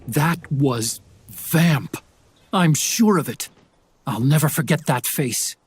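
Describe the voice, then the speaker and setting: An actor playing an earnest tech specialist in a video game, explaining things to his partner in a slightly husky voice. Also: a little high, slow pace, heavily acted. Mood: bitter, shaken. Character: earnest male tech-savvy support partner briefing an agent. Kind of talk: video game cutscene acting.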